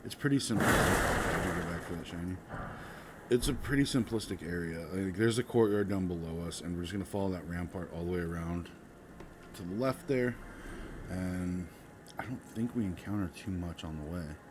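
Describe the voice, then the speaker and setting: Man with a very low voice, sick, laid-back gameplay commentary, heavy background noise. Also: fairly quick. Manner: laid-back, rambling, many ums. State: sick, voice a bit off. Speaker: gaming channel host. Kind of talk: casual gameplay walkthrough commentary.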